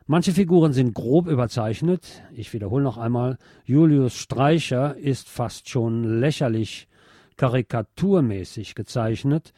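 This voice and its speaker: Mellow male radio host, dry studio sound. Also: medium pitch, a little slow, no echo. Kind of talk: film review monologue on radio.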